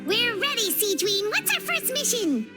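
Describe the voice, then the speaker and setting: A woman voicing a tiny, excitable female companion who chatters eagerly and talks about herself by name. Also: extremely high pitch, unhurried, heavily acted. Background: game music.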